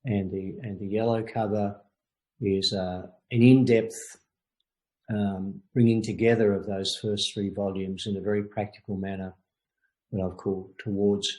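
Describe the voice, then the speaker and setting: An older man coaching a group in a webinar, talking in a measured, thoughtful way with frequent pauses. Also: lowish voice, flat delivery, warm tone, poor audio. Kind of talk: informal lecture and Q&A.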